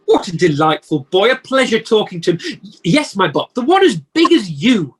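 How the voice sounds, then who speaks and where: An actor playing an emotional, repentant old male miser in an amateur radio play, with theatrical, halting exclamations. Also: mid-range voice, very animated, slightly rough. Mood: excited, delighted. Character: repentant old male miser, desperate then giddily joyful. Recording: home video-call audio.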